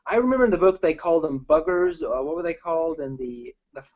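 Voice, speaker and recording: A man hosting a casual group film discussion, talking in a halting, rambling way. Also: fairly high pitch, a little mellow. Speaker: film review host. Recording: dull lo-fi sound.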